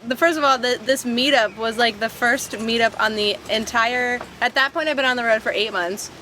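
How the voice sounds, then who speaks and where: A woman's voice: on the high side, normal speed, a little hiss or hum. A young woman chatting casually as a podcast guest, telling stories animatedly and acting out quotes with frequent laughs. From Washington DC area, raised abroad. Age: young adult. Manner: animated storyteller, acts out quotes, laughs often. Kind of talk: casual conversation. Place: inside a van.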